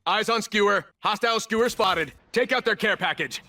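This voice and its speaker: An actor playing a terse, cold special forces operator in a military shooter game, clipped and businesslike. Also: male voice, high-pitched, normal speed, bright, forceful tone. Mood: urgent, focused. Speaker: video game operator character.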